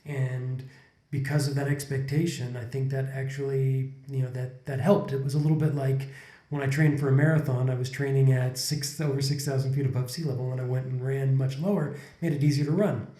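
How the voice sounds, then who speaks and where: A man's voice: medium pitch, flat delivery, brisk, warm tone, reverberant. A man in his forties, co-hosting a podcast in casual conversation, reflective and rambling, often restarting his sentences. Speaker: podcast co-host. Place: indoor room, sitting on a couch. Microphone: desk condenser mic in front of couple.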